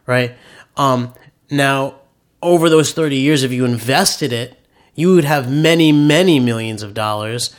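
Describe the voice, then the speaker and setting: A man's voice: mid-range voice, unhurried. A man with a nasal voice co-hosting a podcast, explaining finances in an enthusiastic, opinionated casual way. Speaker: podcast co-host, author.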